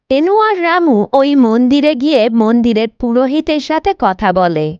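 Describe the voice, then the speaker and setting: A woman narrating a scripted fairy-tale cartoon in an expressive storytelling manner. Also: fairly high pitch, dull audio.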